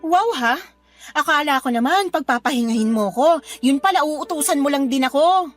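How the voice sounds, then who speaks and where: A woman's voice: sits high, lively intonation, moderate pace, soft background music. An actress playing a fed-up young Filipino mother, betrayed and scolding her cheating partner with sarcasm in a radio drama. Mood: exasperated, angry. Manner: scolding, sarcastic, emotionally wrought.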